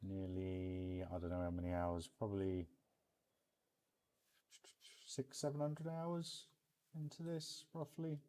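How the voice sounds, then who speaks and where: Deep, mellow British man streaming casually. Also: slow pace, pauses often. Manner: chummy, addresses viewers as mate and bud. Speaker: game streamer and host. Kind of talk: casual live stream chat over a theme park building game.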